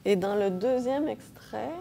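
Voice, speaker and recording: A woman's voice: mid-range voice, unhurried, gravelly, dark tone, slight background noise. A woman professor giving an academic lecture, explaining patiently with frequent hesitations and checks of her audience. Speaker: linguistics professor.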